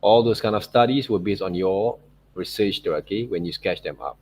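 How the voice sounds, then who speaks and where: Man, lowish pitch, online teacher, informal tutorial narration, non-native loose phrasing, repeated check-in tags. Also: normal speed, a little mellow, slightly rough. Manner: repeats check words like okay and right.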